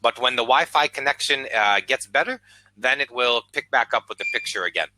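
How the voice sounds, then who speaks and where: An American man, an online English tutor, chatting patiently on a video call and speaking slowly and clearly. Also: mid-range voice, bright, forceful tone, slight background noise, low-quality recording. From United States. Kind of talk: casual conversation lesson.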